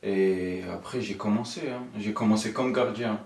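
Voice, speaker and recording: A man's voice: on the low side, normal speed, crowd noise. A young man from Italy and Luxembourg, casually telling his own story as an interview guest, with frequent informal tags. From born in Italy, raised in Luxembourg from age nine. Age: young adult. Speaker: guest, professional footballer. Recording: roomy echo, distant from the mic.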